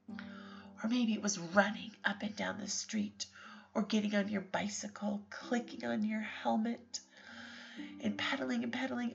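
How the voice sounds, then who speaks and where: Whispery woman with a slow, soothing lull. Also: fairly high pitch, gravelly. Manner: soft and soothing, often whispered. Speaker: bedtime meditation guide. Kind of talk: guided sleep story for children. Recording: slightly dull recording with lullaby music.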